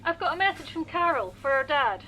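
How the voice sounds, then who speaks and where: A woman's voice: sits high, very animated, brisk, noisy background. A woman playing a blunt young girl in a naturalistic radio play.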